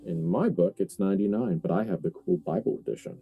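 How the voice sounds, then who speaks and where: A man acting as game master, narrating a tabletop horror roleplaying game in deliberate, halting phrases. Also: sits low, breathy edge, narrow, tinny audio, soft background music.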